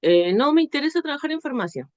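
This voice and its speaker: A woman's voice: high-pitched, big pitch swings, quick pace, darkish tone. A woman from Peru introducing herself as a student in an online class, speaking hesitantly with tag questions.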